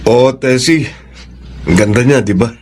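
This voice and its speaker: A man's voice: medium pitch, measured pace, natural voice. A Filipino man playing a smitten, trusting overseas worker in radio drama dialogue, cheerful and confident. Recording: faint background ambience noise.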